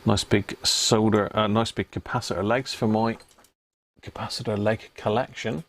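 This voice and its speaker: A man hosting a live repair stream, chatting casually to viewers and thinking aloud in short, halting phrases. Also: lowish voice, fairly even intonation, slight background noise.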